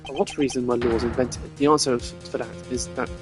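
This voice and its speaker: A man voicing a stern, principled prosecutor with a formal, measured delivery in a video game scene. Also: medium pitch, fairly even intonation, music in the background, natural voice. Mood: resolute.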